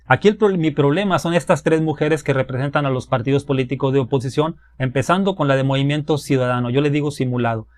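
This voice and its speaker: A man's voice: medium pitch, quick pace, few pauses, dry recording. Blunt man arguing, dry close sound. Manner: blunt, accusatory, colloquial. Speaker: political debate panelist. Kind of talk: political argument.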